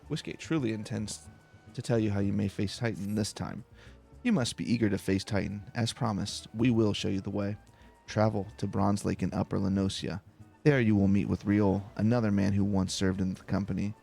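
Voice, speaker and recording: Low, gravelly man reading game dialogue. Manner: relaxed, unhurried. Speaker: let's play host. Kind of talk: calm game playthrough, reading dialogue aloud.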